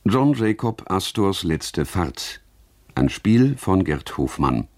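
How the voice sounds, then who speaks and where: A man with standard German, formally and deliberately reading credits as a radio announcer. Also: deep voice, sing-song, no echo.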